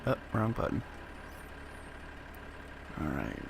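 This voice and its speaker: A man's voice: a bass voice, big pitch swings, takes it slowly, gravelly, noisy background. A man with a cold, sniffling and coughing as he plays, casually talking through a farming game and unsure of what he's doing. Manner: easygoing, unsure, thinking aloud. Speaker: gaming channel host. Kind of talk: casual gameplay commentary.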